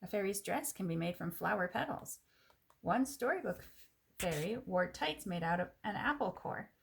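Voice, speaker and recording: A woman reading a children's picture book aloud in an expressive, clearly phrased way, with casual asides. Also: medium pitch, moderate pace, slight background noise.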